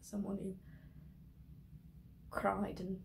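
A woman acting a stage monologue as a despairing grown daughter explaining herself to her mother in halting, hesitant phrases. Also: medium pitch, completely flat delivery, gravelly, a little hiss or hum. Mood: wistful, tender.

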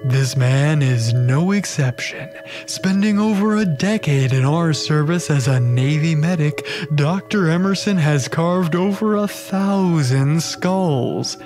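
A man's voice: on the high side, a little mellow, soft background music. A man playing a deranged, gleeful surgeon who hosts a macabre show in theatrical, darkly comedic audio theatre. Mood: sinister showmanship. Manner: theatrical, slow, sinister showmanship.

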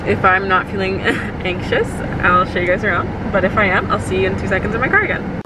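A woman's voice: medium pitch, brisk. Chatty young woman vlogging in her car. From Canada. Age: 20s. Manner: chatty, blunt, swears freely, lots of "like". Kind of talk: casual chat to camera. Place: inside a parked and moving car. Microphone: handheld compact camera at arm's length. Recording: car noise, slightly distant mic.

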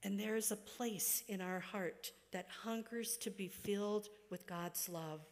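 Slow, measured woman preaching in a reverberant church. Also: medium pitch. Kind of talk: sermon. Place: church sanctuary, at a wooden pulpit. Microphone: gooseneck pulpit microphone. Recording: long reverberant church hall.

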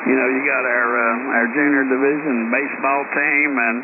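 A man's voice: mid-range voice, fairly even intonation, normal speed. A man from the United States chatting over amateur radio in an easygoing, folksy way, through a radio effect. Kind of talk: casual radio chat. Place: received over shortwave radio. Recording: noisy, hissy narrow-band ham radio signal.

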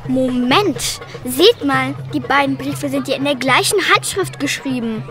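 A woman voicing a calm girl who lays out evidence in a children's radio play. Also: sits high, measured pace, music in the background. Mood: serious.

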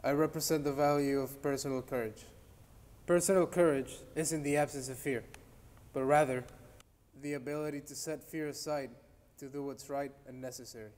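A man's voice: mid-range voice, steady tone, moderate pace, darkish tone. A teenage male cadet from Mexico giving a firm, projecting formal speech on stage. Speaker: military academy cadet. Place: dark auditorium stage. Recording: echoey hall, distant mic.

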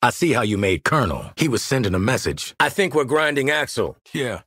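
An actor playing a cocky, wisecracking American sniper mercenary in a video game, streetwise and sardonic, with punchy delivery. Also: male voice, medium pitch, big pitch swings, brisk, a bit bright, dry recording, studio quality. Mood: sardonic, dry. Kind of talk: video game combat voice lines.

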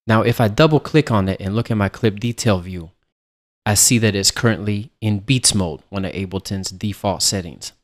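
A man narrating a software tutorial as an instructor, explaining things in a casual way. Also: lowish voice. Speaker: music production instructor.

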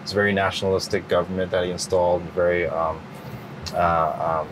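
A middle-aged man answering interview questions in a relaxed, rambling way, full of fillers and restarts. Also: sits low, brisk, noisy background. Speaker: interview guest. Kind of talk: casual interview.

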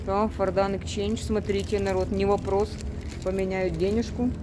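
A woman's voice: mid-range voice, little pitch movement, moderate pace. A woman hosting a travel vlog in a casual, chatty, colloquial way, filmed at arm's length on a street. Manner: chatty, colloquial, uses many diminutives. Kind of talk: casual spoken vlog narration. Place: outdoors in an old-town market street. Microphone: handheld camera at arm's length. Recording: noisy outdoor street, handheld recording.

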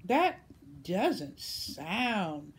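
A woman's voice: mid-range voice, very animated, extremely slow, breathy edge, full character voice. A woman voicing a reluctant, fussy little frog from a storybook, plaintive and questioning. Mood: hopeful, questioning.

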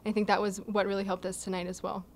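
Young woman, flat steady athlete voice. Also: medium pitch, fast talker. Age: young adult. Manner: earnest, steady, with light laughs and restarts. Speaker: college volleyball player. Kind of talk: postgame interview answers. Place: indoor press backdrop, seated. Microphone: table mic in front of her.

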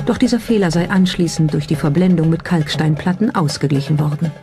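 A documentary narrator reading a script in a measured, explanatory way and posing rhetorical questions. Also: medium pitch, mellow. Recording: music behind the voice. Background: clearly audible background music.

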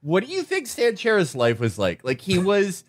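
A man's voice: high-pitched, huge pitch swings, normal speed, no echo, studio quality. A man co-hosting a podcast, riffing sardonically in casual, profane political banter full of "like". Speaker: podcast co-host.

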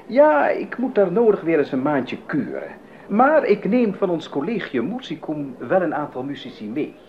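A jovial, music-loving aristocrat in a 1980s radio play. His intonation is extremely animated, with a hearty, emphatic, familiar delivery. The recording sounds somewhat muffled. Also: fairly high pitch, moderate pace, a little hiss or hum. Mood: casual, matter-of-fact. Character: jovial, music-loving nobleman and generous patron. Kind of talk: 1980s radio drama acting.

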